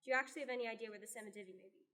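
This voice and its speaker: A woman's voice: a little high, very fast, bright, forceful tone. A young woman playing a level-headed, inquisitive female adventurer in a scripted radio play. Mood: questioning. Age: young adult.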